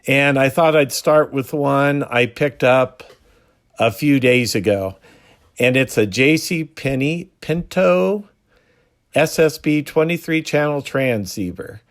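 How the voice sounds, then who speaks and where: A man hosting a hobby video, explaining casually and unscripted, with halting pauses mid-sentence. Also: medium pitch.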